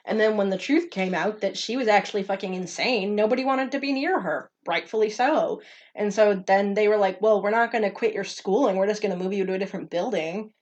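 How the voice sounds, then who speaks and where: A young woman co-hosts a podcast, chatting bluntly and swearing freely. Her pitch sits in the middle for a woman. The recording is dull and lo-fi. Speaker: podcast co-host. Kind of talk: casual chat, reading stories aloud.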